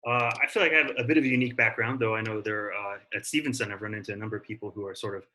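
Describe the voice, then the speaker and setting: A man's voice: on the low side, steady tone, very fast, bright, forceful tone, a little hiss or hum. An American man in his thirties teaching an online class, talking in a casual, friendly way with plenty of fillers. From Africa and Italy.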